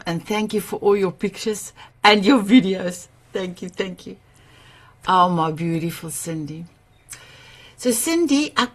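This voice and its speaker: A woman hosting an online summit, her first language Afrikaans. Her voice sits at a middle pitch. She speaks effusively, searching for simple words and repeating herself as she goes. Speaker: summit host. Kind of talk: warm livestream summit hosting.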